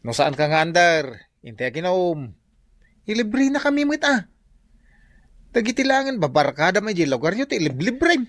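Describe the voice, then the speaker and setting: A man's voice: high voice, sing-song, moderate pace, pauses often, a bit bright, dry recording. A man playing a teasing, goading drinking buddy in an Ilocano radio drama, with an Ilocano accent. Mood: goading, mocking.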